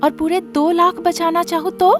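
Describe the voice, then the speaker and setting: A woman voicing a fame-hungry young daughter-in-law, excitable and wheedling, in a story. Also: extremely high pitch, moderate pace, faint music behind, voice pushed up. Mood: whiny, alarmed. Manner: whiny, exclaiming, wheedling.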